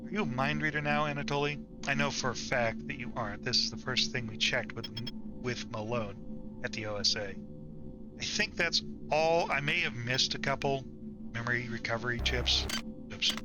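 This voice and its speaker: A man's voice: medium pitch, normal speed, music in the background. A man hosting a let's play, giving casual gameplay commentary and reading in-game text aloud. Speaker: let's play video host.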